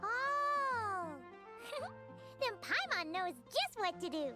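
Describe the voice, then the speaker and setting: A woman voicing a small, eager, bossy childlike fairy companion in a video game, with a squeaky voice and exclamatory delivery. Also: very animated, slow pace, over loud music, full character voice. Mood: excited, eager. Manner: exclamatory, refers to herself in third person.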